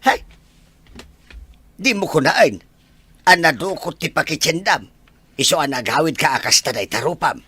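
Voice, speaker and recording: A man playing a gruff, scolding old husband and father in an audio drama, with an Ilocano Filipino accent. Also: on the high side, expressive, moderate pace, pauses often, sharp tone, full character voice. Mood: scornful.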